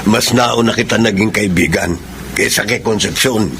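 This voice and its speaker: A man's voice: lowish voice, moderate pace, lightly acted. A man playing a long-suffering middle-aged working father in a Filipino radio drama. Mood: wistful. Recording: noisy radio drama with background ambience.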